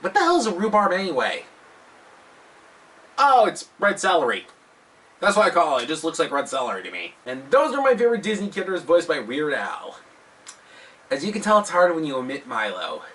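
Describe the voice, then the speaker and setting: A bright-voiced man hosts a countdown video with animated, self-deprecating commentary. His pitch swings widely and sits a little high. He sounds somewhat distant from the microphone. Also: a little fast, pauses often. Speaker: host of a video countdown show. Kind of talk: scripted video commentary with jokey asides.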